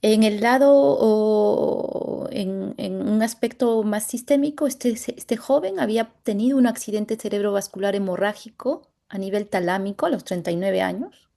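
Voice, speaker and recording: A Latin American woman, a dermatologist, presenting a medical case over a video call in a halting way, pausing often to search for words. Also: medium pitch, creaky, warm tone. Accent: Latin American Spanish.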